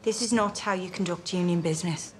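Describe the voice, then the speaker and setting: An actress playing a sardonic young socialist union organiser, speaking in a firm, pointed, unimpressed way. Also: female voice, on the low side, a little fast, natural voice. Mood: pointed, defiant. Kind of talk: period television drama dialogue.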